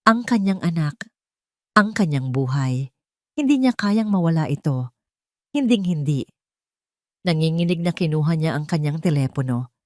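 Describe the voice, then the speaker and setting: Low-voiced Filipino woman narrating drama. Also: expressive, normal speed, long pauses, dry recording, studio quality. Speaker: audio story narrator.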